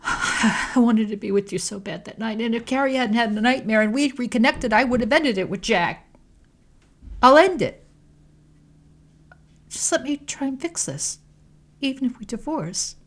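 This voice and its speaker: A woman voicing a guilt-ridden wife who begs her spouse in tears, her pleading voice rising high. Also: animated, measured pace, long pauses. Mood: sobbing. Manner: high tearful begging.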